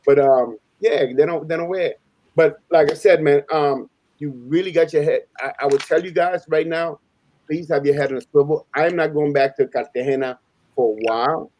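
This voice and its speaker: A man's voice: a little high, normal speed, a little mellow. A man who is a native Spanish speaker tells stories casually on a panel, using slangy street talk, repeating himself emphatically and acting out dialogue. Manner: emphatic, repeats phrases, acts out quoted dialogue. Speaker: panelist on a live open panel. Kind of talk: casual animated storytelling.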